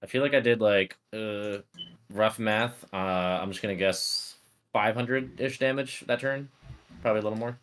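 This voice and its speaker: A man's voice: on the low side, moderate pace, a bit bright, smooth. A man playing a tabletop game, casually working out numbers and moves aloud.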